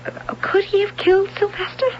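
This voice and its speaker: An actress playing an anxious, soft-hearted wife in an old radio drama, hesitant and stammering with worry. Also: female voice, very high-pitched, monotone, brisk. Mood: fearful, shaken. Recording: dull, noisy old radio recording.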